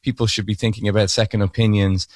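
A man's voice: lowish voice, flat delivery, fast talker, darkish tone, no room sound, studio quality. A man co-hosting a financial radio talk show, talking casually with frequent restarts. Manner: rambling with restarts.

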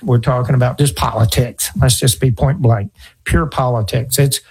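A man's voice: mid-range voice, steady tone, normal speed, darkish tone, breathy edge. A man in his fifties, a financial advisor talking earnestly, telling stories and repeating his points. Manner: earnest, storytelling, repeats himself. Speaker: financial advisor radio host. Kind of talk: conversational advice talk.

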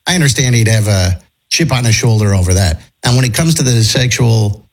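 A male morning radio host who is losing his voice, clearing his throat and giving strong opinions. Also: on the low side, lively intonation, a little fast.

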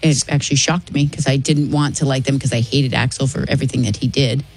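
A woman's voice: very deep voice, steady tone, brisk, darkish tone, slightly rough, soft background music. A woman co-hosting a radio show, chatting in an opinionated, talkative way about concerts. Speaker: radio co-host. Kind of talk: casual radio chat.

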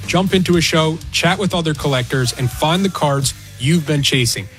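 An American man delivers a scripted advertisement as an announcer. His voice is a touch high, with faint music playing behind it. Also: normal speed, a bit bright. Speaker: commercial announcer. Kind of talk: scripted ad read.

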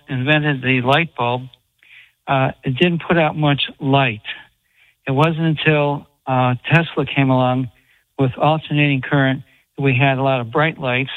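A man's voice: mid-range voice, no echo. A man calling into a radio show, talking with enthusiasm and some hesitant fillers. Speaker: caller. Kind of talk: call-in radio talk. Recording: tinny phone line.

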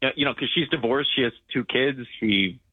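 A man's voice: mid-range voice, a little fast, husky, dry recording. A man in his twenties calling in to a radio show, earnest and a little hesitant as he confesses. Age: 20s. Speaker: caller. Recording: tinny dry phone line.

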